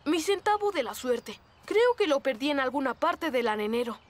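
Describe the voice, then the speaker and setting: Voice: high voice, big pitch swings, normal speed. An actor voicing an earnest young moose boy in a children's cartoon, puzzled and questioning. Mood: puzzled, searching. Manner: questioning, bemused.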